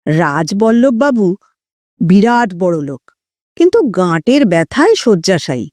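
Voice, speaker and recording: A woman narrating an audio story in an expressive storytelling style. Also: mid-range voice, no room sound, studio quality.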